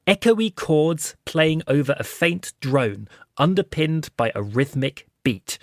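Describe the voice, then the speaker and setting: A man's voice: fairly high pitch, big pitch swings, slow, no room sound, studio quality. A man hosting a casual behind-the-scenes chat in a jokey, digressive way. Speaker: podcast host and audio drama writer.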